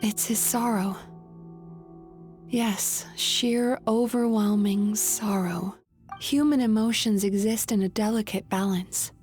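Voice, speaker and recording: A woman playing a calm young nightmare hunter in a video game, speaking in a composed, reassuring, explanatory way. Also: mid-range voice, takes it slowly, close to an everyday voice. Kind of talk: scripted video game voice acting. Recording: music under the voice. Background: game music.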